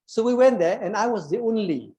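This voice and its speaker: A man's voice: high voice, lively intonation, a little fast, mellow. Malaysian man in his sixties telling stories. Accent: Malaysian English. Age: 60s. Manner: storytelling, frequent word repeats and restarts. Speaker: doctor and alumni conference speaker. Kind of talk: anecdotal conference talk.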